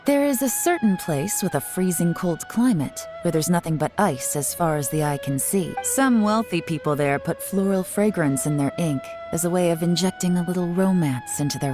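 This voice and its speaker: A woman voicing a shrewd, composed investigator in an anime game, deliberate and self-assured. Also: deep voice, lightly acted. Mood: confident. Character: cool, shrewd woman investigator leading a covert inquiry. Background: game music.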